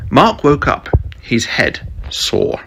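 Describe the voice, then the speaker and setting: Slow-paced man narrating a story. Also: mid-range voice, very animated, fairly bright tone, a little hiss or hum, poor audio. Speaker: storyteller, podcast host. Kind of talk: scripted fan fiction narration with acted dialogue.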